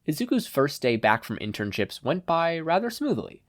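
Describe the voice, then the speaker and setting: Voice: medium pitch, sing-song, normal speed, no echo. Podfic reader with a dry, close sound. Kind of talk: scripted narration of fan fiction.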